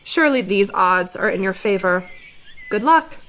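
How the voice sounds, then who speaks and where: A woman's voice: mid-range voice, animated, normal speed, a bit bright, close to an everyday voice. A woman playing a pompous, scheming army commander in a radio theatre drama, speaking formally and smugly. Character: haughty, scheming army commander, vindictive and smug.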